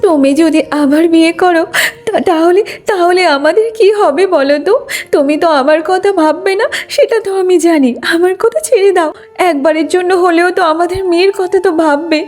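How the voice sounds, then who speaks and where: Woman voicing a very high, pleading young wife. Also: a little mellow. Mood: tearful pleading. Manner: pleading, tearful, halting. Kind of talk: Bengali moral-story cartoon acting.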